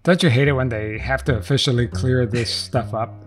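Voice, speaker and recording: A man's voice: mid-range voice, steady tone, faint music behind. A man hosting a podcast, chatting casually in a playful, teasing way. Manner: playful, teasing, quick to joke. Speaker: podcast host. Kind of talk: casual chat.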